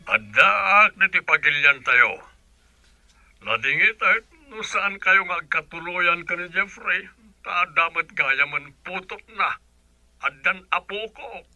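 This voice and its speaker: A man's voice: high-pitched, lively intonation, slow, pushed and bright, no echo, muffled recording, full character voice. An actor playing a hearty older father and grandfather in an Ilocano radio drama, with an Ilocano accent. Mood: firm, apologetic.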